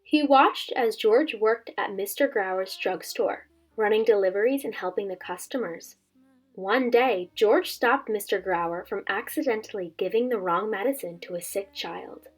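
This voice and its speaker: A woman's voice: mid-range voice, measured pace, slightly rough, faint music behind, poor audio. A woman in her twenties speaking as a teacher doing a storybook read-aloud for children. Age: 20s. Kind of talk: casual intro chat and storybook read-aloud.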